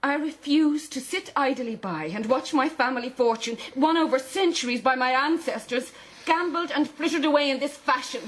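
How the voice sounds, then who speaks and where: An actress playing a proud, defiant aristocratic wife in a radio drama, formal and haughty. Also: female voice, high-pitched, moderate pace, lightly acted. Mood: outraged.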